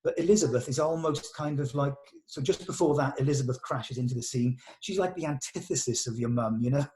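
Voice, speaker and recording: A man's voice: medium pitch, a little fast, dark tone. A man directing a read-through, giving actors encouraging notes in conversation and often rephrasing as he goes.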